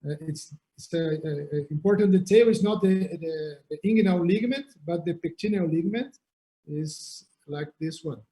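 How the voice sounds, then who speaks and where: A man from Brazil with a Brazilian Portuguese accent, giving an online surgical anatomy lecture over a video call in halting English, often repeating words. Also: on the high side, warm tone, low-quality recording. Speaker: surgeon lecturer.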